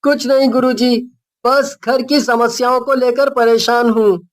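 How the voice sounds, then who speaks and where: A woman's voice: fairly high pitch, steady tone, unhurried, close to an everyday voice. A woman voicing an earnest, polite young schoolboy in a cartoon story. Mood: polite, troubled. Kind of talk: Hindi cartoon story character voice.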